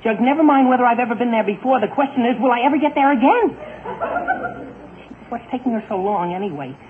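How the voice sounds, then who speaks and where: A man's voice: very high voice, lively intonation, fast talker. An actor playing an exasperated, whiny teenage boy, anxious and flustered, in a 1940s radio comedy. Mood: impatient. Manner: whiny, flustered, exclaiming in boyish slang. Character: exasperated, fretful teenage boy, anxious about being late. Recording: scratchy, tinny 1940s radio broadcast, noisy.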